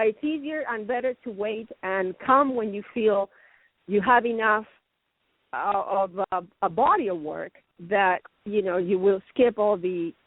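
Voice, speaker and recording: A woman's voice: mid-range voice, dry recording. A middle-aged woman raised in Venezuela and Canada, a guest actress chatting in an interview, rambling and laughing often. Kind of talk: casual interview chat. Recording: tinny phone line.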